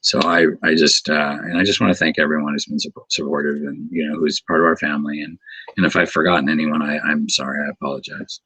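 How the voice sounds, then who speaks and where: Deep husky-voiced man, earnest and halting. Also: level tone, sharp tone, no room sound, poor audio. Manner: halting, repeats words while searching for them; earnest and grateful. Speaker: martial arts instructor, guest. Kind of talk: casual interview talk.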